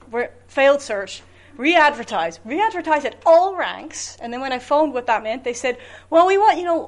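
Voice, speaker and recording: Lively middle-aged woman professor. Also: a little high. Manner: lively, anecdotal, encouraging. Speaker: professor, panelist. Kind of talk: academic panel discussion.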